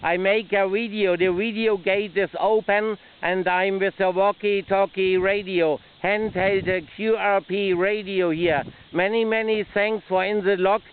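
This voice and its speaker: A man's voice: sits high, steady tone, measured pace, hardly any pauses, smooth. A man, an amateur radio operator making contacts and commenting outdoors, spelling things out phonetically. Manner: repeats and spells out call signs phonetically. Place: outdoors by a river in strong wind. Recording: tinny band-limited audio, loud wind noise. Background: loud wind and noise.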